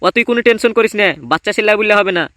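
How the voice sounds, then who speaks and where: A man voicing a streetwise young gang sidekick in a comedy cartoon, reassuring and gently chiding. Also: high-pitched, fairly even intonation, rapid-fire, no echo, clean studio sound. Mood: consoling. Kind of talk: cartoon voice acting.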